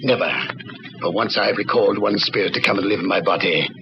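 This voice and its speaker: Deep, gravelly, obsessed mad doctor. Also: male voice, brisk. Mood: fanatical, defiant. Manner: intense, ranting, defensive. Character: obsessed old mad doctor craving eternal life. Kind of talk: old-time radio horror acting. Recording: muffled, very noisy old radio recording.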